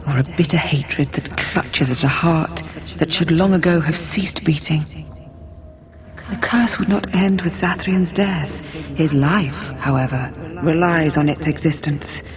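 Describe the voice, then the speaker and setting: A woman playing an ancient, compassionate female forest spirit in a fantasy video game, speaking in a measured, solemn way. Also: lowish voice, close to an everyday voice.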